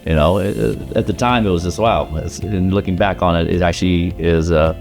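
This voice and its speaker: A man in his thirties or early forties from South Carolina, born in China, reminiscing in a conversational interview with halting, thoughtful phrasing. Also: low-pitched, steady tone, gravelly, faint music behind. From grew up in South Carolina, born in China. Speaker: law school alumnus, interviewee.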